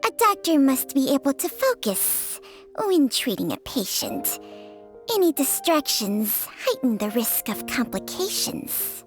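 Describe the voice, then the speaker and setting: A woman voicing a sardonic, aloof female snake companion in a video game, speaking in a dry, formal, measured way. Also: sits high, big pitch swings, over music. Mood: stern.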